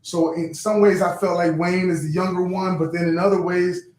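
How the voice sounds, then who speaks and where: A man's voice: sits high, flat delivery, normal speed, darkish tone. A man from San Pedro, California, a rapper answering interview questions in a laid-back, hesitant way. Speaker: rapper guest. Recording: echoey room.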